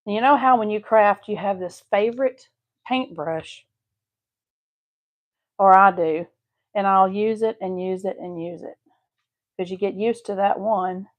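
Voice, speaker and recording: A woman's voice: medium pitch, measured pace, pauses often, lo-fi sound. A woman with a Southeast Texas accent hosting a live craft stream, chatting homely with viewers as she paints. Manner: chatty, homey, talks to viewers while painting. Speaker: craft channel host.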